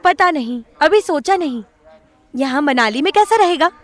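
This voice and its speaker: A woman's voice: high-pitched, lively intonation, moderate pace. A young woman playing a bold, protective, bossy big-sister character in an audio drama, speaking emphatically. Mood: assertive, teasing. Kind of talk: Hindi audio drama acting.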